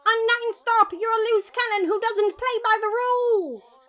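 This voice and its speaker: A woman's voice: extremely high pitch, measured pace, a bit bright, pitched above the performer's own voice. A woman voicing a pompous, earnest male skeleton detective, theatrical and dramatic, in an audio story. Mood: urgent, dramatic. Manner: theatrical, exclamatory.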